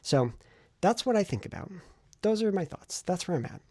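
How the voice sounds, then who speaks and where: A man's voice: sits high, very animated, moderate pace, mellow, dry recording, clean studio sound. A man talking casually to his audience as a novelist vlogger, effusive and repeating phrases for emphasis. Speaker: novelist vlogger documenting writing progress. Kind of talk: casual vlog chat to camera.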